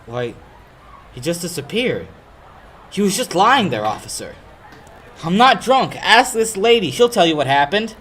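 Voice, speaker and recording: A man's voice: sits high, very animated, measured pace, long pauses, a bit bright, slight background noise, exaggerated voice. A young man playing a hapless, earnest young lawyer in a 1940s-style radio play, easily flustered. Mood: indignant. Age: young adult.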